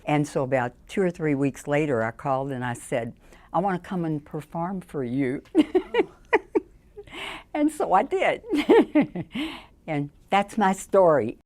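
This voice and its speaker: An older woman, chatting lively and laughing often as she tells her story as a patient. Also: sits low, unhurried, long pauses. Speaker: patient giving a testimonial. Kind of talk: personal testimonial.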